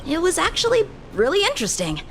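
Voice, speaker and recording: Animated, high-voiced eager boy. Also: quick pace, exaggerated voice. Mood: earnest, animated. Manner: boyish, candid, expressive. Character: earnest, eager teenage boy swordsman. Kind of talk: English game voice acting. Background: background music.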